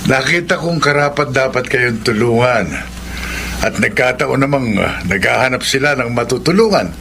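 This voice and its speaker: An actor playing a kindly, approving uncle in a Filipino radio drama. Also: male voice, medium pitch, lively intonation, normal speed, gravelly, a bit bright, slight background noise. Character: approving, kindly uncle who recommends the poor.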